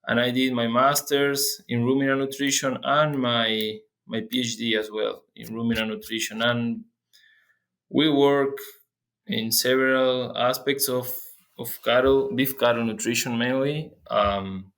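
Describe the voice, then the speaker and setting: Young adult man from Argentina, guest expert, hesitant and restarting often, mid-pitched voice. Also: fairly even intonation, fairly bright tone. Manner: halting, frequent restarts and fillers. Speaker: guest researcher. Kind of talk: interview.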